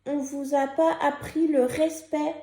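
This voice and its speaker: YouTuber, young woman, sick with a cold, gravelly and mellow, hesitant with lots of fillers. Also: high-pitched, flat delivery, measured pace, reverberant. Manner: hesitant, many fillers and restarts. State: has a cold and a sore throat. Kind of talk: casual vlog commentary, partly read from a script.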